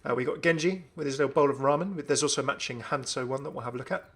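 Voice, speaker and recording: A man hosting a gaming video with casual, enthusiastic commentary in a creaky voice. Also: medium pitch, rapid-fire, fairly bright tone. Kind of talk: casual commentary on a game update.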